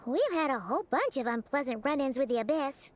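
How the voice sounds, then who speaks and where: A woman voicing a tiny, excitable, childlike fairy companion in a video game, perky and a little whiny. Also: high voice, a little fast. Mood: curious. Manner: perky, whiny, speaks of herself in third person.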